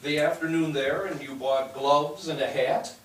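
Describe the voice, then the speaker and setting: An actor in a staged reading playing a patient 1860s husband with a measured, dryly teasing manner. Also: male voice, a little high, fairly even intonation, a bit bright. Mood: wry. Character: dry, patient husband of 1860s society wife. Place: theatre stage, recorded from a distance. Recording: very echoey stage, distant mic, faint noise.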